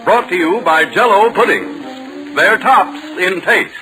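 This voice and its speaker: A man's voice: high-pitched, takes it slowly, sharp tone, soft background music, rough recording. A man with an American accent, announcing and narrating a 1940s radio show from a script. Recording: vintage 1940 radio broadcast.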